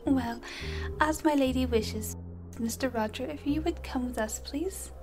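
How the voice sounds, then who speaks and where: A woman's voice: high-pitched, measured pace, music in the background. A woman playing a prim whispering assistant. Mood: polite whisper. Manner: soft whispering, formal and politely teasing. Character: poised young female personal assistant, prim and mischievous. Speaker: personal assistant to the listener. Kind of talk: ASMR audio roleplay.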